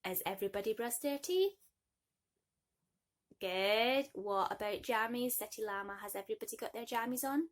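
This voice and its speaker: A woman's voice: a little high, expressive, moderate pace. A woman reading children's bedtime stories expressively, with playful, nurturing asides to young listeners. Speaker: bedtime story reader.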